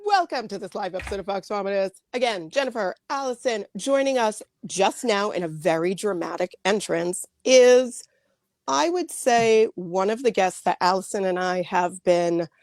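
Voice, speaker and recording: A woman's voice: mid-range voice, normal speed, a little mellow, no echo. Woman co-host, bubbly and rambling, fan energy, dry close sound. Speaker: podcast co-host. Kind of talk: enthusiastic live podcast interview.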